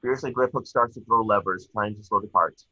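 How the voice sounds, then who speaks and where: A man's voice: on the low side, fairly quick, sharp tone, no room sound, lo-fi sound. A man reading stage directions as narrator for a screenplay table read.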